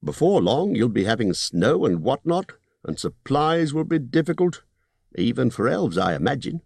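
A man voicing a fussy, polite male hobbit burglar who talks in a businesslike, slightly squeaky way. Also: very animated, normal speed, gravelly, darkish tone, slightly rough, dry recording, exaggerated voice. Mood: persuasive.

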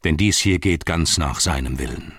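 A grave old wizard of a fantasy audiobook, played by a male reader. He is low-pitched, weary and commanding, weighing his words slowly. The sound is dry and close. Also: fairly bright tone, no room sound, exaggerated voice. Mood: heavy resolve. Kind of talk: fantasy audiobook character reading.

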